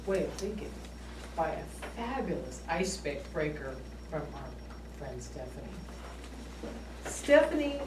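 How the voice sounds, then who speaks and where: A woman giving a formal, measured address as an evaluator at a speaking club. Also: lowish voice. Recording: echoey room from far off with noise.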